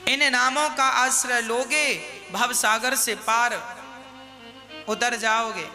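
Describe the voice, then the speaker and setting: High, bright-voiced preacher man. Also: steady tone, slow pace, in a large hall, faint music behind. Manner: rhetorical questions, scolding and exhorting listeners. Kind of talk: devotional sermon.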